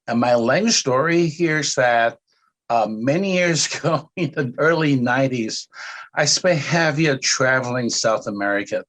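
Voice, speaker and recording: Man, relaxed interview guest. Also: medium pitch. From Taiwan. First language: Cantonese. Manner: relaxed, with frequent "uh" pauses. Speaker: podcast guest. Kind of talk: casual interview answers.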